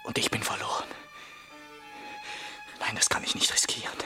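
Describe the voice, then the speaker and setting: A man's voice: moderate pace, over music, lightly acted. An actor in a German radio play voicing a tormented young man tempted to murder, in an intense inner monologue that moves between whispers and agitated outbursts. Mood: anxious, torn.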